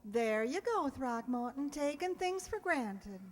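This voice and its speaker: An actress playing a genteel, bossy, coquettish fiancée in 1940s radio comedy style, prim and fluttery. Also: female voice, sits high, animated, a little slow, long reverb tail. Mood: gently scolding.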